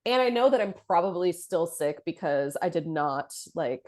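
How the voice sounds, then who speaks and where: A woman in her thirties, a podcast co-host chatting casually, her voice deep and nasal from a head cold, self-deprecating and full of fillers. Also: expressive, moderate pace, darkish tone. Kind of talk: casual chat.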